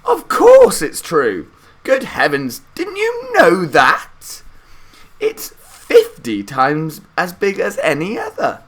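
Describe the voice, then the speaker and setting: Elderly storybook grandparents, one man acting: high-pitched, excitable, emphatic, swooping exclamations. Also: takes it slowly, heavily acted. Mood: astonished.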